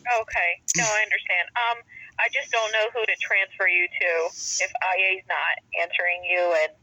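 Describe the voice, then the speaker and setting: A woman's voice: mid-range voice, fairly even intonation, moderate pace, sharp tone, no echo. A woman police dispatcher handling a call patiently and accommodatingly, heard over a dull telephone line. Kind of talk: unscripted phone call handling.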